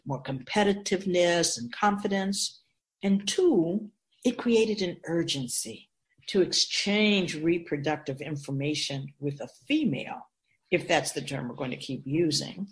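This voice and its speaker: A woman playing an ageless, wise spirit guide in a radio drama, explaining in a measured, emphatic, instructive way with deliberate pauses. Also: fairly low pitch, close to an everyday voice. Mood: assured, explanatory. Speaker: teacher figure in a radio drama.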